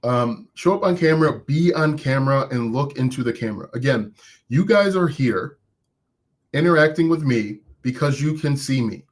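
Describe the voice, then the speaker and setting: A man with a voice of middle pitch, speaking as an online business coach on a live stream. He talks casually and encouragingly to his viewers, often restarting his sentences. He says he has an accent himself. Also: animated, normal speed. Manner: conversational and encouraging, with frequent restarts.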